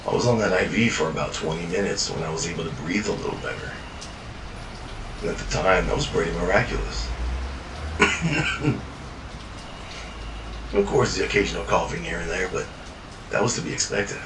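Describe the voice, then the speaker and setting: A man with a very deep voice tells his story in a tired, world-worn way, swearing as he goes. He sits back from the mic in an echoey room. Steady ambient noise runs underneath. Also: moderate pace, pauses often, recorded from a distance. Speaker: narrator. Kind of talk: first-person fiction journal narration.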